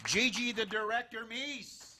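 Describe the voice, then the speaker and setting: A man's voice: very high voice, a little slow, a crowd in the background, noisy background. A man announcing a scripted live radio-style variety show as its host.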